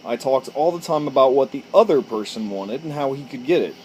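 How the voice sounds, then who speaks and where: A man's voice: medium pitch, lively intonation, a little fast, a little mellow, poor audio. Informal man on a porch, faint background noise. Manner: informal, stumbles and corrects himself while reading. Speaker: amateur storyteller and video host. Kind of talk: casual chat and reading aloud from a book. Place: outdoors on a porch.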